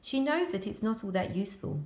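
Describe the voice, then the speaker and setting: A woman reading a children's picture book aloud as a school storyteller, expressive and addressing young children. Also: medium pitch, fairly quick, reverberant.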